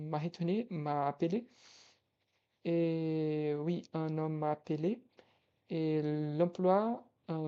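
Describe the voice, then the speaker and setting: A young Brazilian man, a language tutor whose first language is Portuguese, speaking French with a Brazilian Portuguese accent in a casual video diary, pausing often and restarting his sentences. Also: on the high side, warm tone, muffled recording. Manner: hesitant, frequent restarts and self-corrections.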